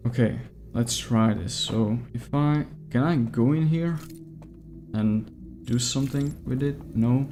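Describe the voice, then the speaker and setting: A man with a Czech accent whose native language is Czech, streaming a game live and chatting with viewers, often pausing to search for English words. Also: mid-range voice, dark tone, slight background noise. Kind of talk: casual live gameplay stream, answering chat.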